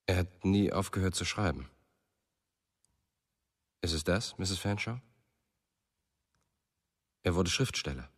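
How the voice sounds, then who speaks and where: Radio drama male lead: brooding, low-pitched, slow-spoken, husky. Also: lively intonation, dry recording, clean studio sound, exaggerated voice. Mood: probing. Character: brooding male narrator, old friend of a missing writer. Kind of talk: German radio drama acting, first-person narration.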